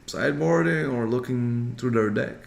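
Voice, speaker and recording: A man's voice: mid-range voice, moderate pace, vocal fry. Flemish man commentating in an echoey room. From Belgium. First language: Dutch. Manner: relaxed, chatty, reads viewer comments. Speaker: stream commentator. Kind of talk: casual play-by-play commentary. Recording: roomy echo.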